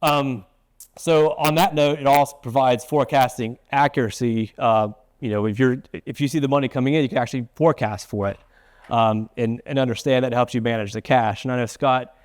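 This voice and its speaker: A man's voice: medium pitch, fairly even intonation, a little fast, no echo. A man presenting in an explanatory but conversational way, often checking in with the listener. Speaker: webinar presenter.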